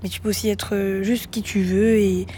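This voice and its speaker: A young woman who grew up in Greece, a musician guest answering interview questions thoughtfully, with many pauses and restarts. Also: medium pitch, flat delivery, warm tone, a little hiss or hum. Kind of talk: reflective radio interview answers.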